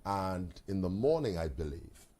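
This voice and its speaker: A Nigerian man hosting a live talk show, reflective, with halting restarts and repeated words. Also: low-pitched, big pitch swings, mellow.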